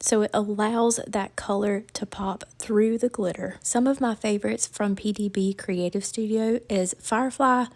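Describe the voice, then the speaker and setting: A woman hosts a casual how-to tutorial. Her voice is soft-edged and mellow, a little crackly because she is under the weather. She explains things in a chatty, down-home way. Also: mid-range voice, fairly even intonation, moderate pace, few pauses. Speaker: crafting tutorial host.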